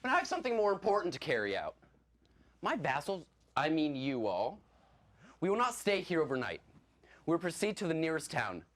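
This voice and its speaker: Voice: medium pitch, very animated, moderate pace, natural voice. An actor playing a pompous, power-drunk stage player who lords it over a troupe in grandiose, declaiming style. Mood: imperious. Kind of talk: theatrical stage play acting.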